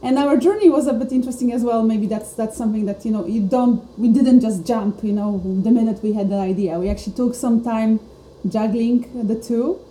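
A woman's voice: on the high side, brisk, few pauses, dark tone. A woman from Poland, a startup co-founder, speaking earnestly as a podcast guest. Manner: reflective, earnest. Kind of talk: casual podcast chat. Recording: roomy sound with faint noise.

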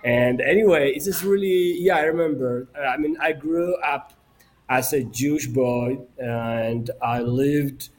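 Slow, halting man with an Ethiopian accent. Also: medium pitch. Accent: Ethiopian, Amharic. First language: Amharic. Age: 40s. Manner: halting, many fillers and restarts. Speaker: guest journalist and author. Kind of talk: remote interview.

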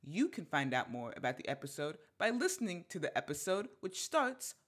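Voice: mid-range voice, very animated, normal speed, a bit bright. A podcast host reading a scripted introduction in a wry, playful manner. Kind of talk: scripted narration with dry jokes.

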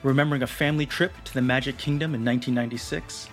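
A man's voice: medium pitch, steady tone, racing. A young man reading scripted documentary narration with a dramatic storytelling delivery. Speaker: narrator of a feature article. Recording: music bed under the voice. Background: music.

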